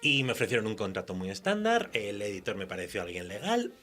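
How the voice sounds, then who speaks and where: A man's voice: lowish voice, wildly swooping pitch, fast, a bit bright, soft background music. Chatty Spanish man telling stories. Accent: Spanish from Spain. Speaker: guest writer and nurse. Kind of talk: casual radio interview chat.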